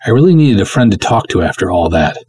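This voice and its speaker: A man's voice: fairly low pitch, quick pace, breathy edge, no echo. A man voicing a plucky, jittery young woman who recounts a spooky night in slangy, old-fashioned storytelling style. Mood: sheepish. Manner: animated, slangy, breezy storytelling. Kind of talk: dramatic first-person story reading with 1950s slang.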